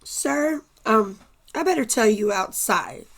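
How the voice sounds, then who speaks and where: A young-sounding, high-pitched female corporal played by an actress in a sci-fi radio drama. She speaks slowly and deferentially, earnest and obedient, with a troubled conscience. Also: animated, a crowd in the background. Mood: uneasy. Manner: deferential, earnest military address. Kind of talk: science fiction radio play acting.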